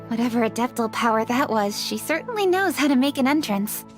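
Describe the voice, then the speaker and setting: A woman voicing a brisk, principled young official. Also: on the high side, lively intonation, faint music behind, natural voice. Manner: crisp, upright, slightly prim.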